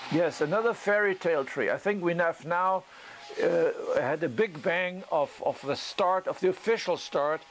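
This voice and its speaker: A man who speaks as a gives an unscripted critique as a teacher, thinking aloud haltingly with a whimsical storytelling streak. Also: on the high side. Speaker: bonsai teacher giving tree critiques. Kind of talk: unscripted spoken critique and demonstration. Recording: noisy ambient location recording.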